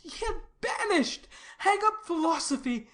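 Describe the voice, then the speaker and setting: A woman's voice: extremely high pitch, very animated, measured pace, a bit bright, exaggerated voice. A woman playing a lovesick, desperate banished youth in a Shakespearean tragedy, with an anguished theatrical delivery. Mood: anguished, bitter. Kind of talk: classical stage tragedy in audio.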